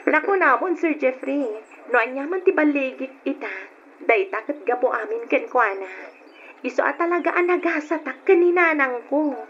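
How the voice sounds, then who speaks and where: High-voiced, deferential young woman. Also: expressive, moderate pace, fairly bright tone. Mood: cheerful. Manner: deferential, earnest. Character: polite, grateful young working woman, devoted daughter. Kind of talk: Ilocano radio drama acting. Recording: dull, noisy old radio drama recording.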